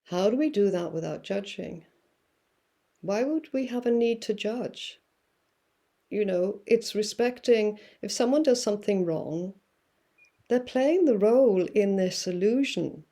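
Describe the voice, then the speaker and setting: A woman guest musing through spiritual ideas, with many fillers and pauses. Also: mid-range voice, expressive, mellow. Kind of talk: reflective spiritual talk.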